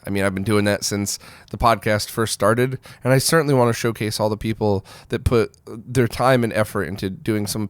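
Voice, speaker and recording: A man's voice: medium pitch, dry recording, studio quality. A man hosting a news roundup in a casual, blunt, opinionated way, speaking informally straight to viewers. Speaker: host of a retro gaming news show.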